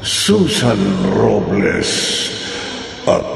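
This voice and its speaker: A man announcing a horror radio drama in a slow, drawn-out, ominous way. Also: fairly low pitch, sing-song, vocal fry. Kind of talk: dramatic horror-show narration. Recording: reverberant hall echo with music bed.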